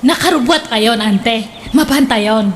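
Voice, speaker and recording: Woman as a high-voiced, fretful young Filipina. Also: unhurried, very noisy, natural voice. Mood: mildly complaining. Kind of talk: comedic radio drama acting.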